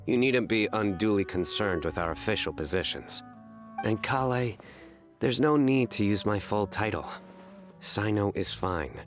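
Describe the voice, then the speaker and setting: Low, deadpan young general. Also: male voice, faint music behind. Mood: formal, solemn. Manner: deadpan, formal, measured. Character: stern, deadpan young male general who tells puns. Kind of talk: video game voice acting.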